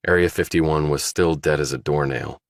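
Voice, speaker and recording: A man's voice: a bass voice, steady tone, normal speed, dry recording, studio quality. A man reading a scripted thriller audiobook as its narrator.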